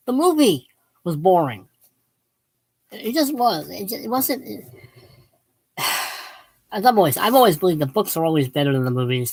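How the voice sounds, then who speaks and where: Book vlogger, female, late fifties. Low voice, dry close sound, casual and digressive, self-deprecating, opinionated. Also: a little mellow, no room sound, low-quality recording. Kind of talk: casual rambling book talk to viewers.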